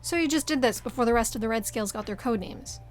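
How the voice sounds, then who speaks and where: An actress playing a grouchy, aggrieved, grudge-holding sister in an audio drama. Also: female voice, fairly high pitch, quick pace, soft background music, close to an everyday voice.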